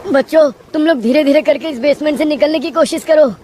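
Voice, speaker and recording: A woman's voice: on the low side, a little fast, a little mellow, a little hiss or hum, natural voice. A woman voicing a kind, earnest male village schoolteacher with a caring manner, in a cartoon moral story. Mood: urgent worry.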